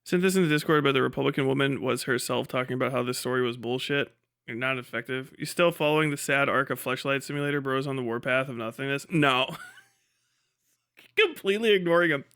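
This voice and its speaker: A man's voice: mid-range voice, brisk, a bit bright, clean tone. A man hosting a casual livestream, talking in a sweary, dismissive, sardonic way. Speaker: livestream host.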